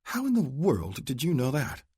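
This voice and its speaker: A man's voice: medium pitch, wildly swooping pitch, normal speed, dark tone, breathy edge, dry recording, voice pushed up. A man voicing a cool, charming young upper-class woman with a Philadelphia accent and a poised manner.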